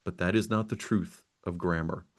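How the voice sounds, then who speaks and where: A man's voice: deep voice, steady tone, normal speed. A middle-aged man hosting an interview, conversational and prone to stumbling into his questions. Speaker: podcast host. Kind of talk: casual interview.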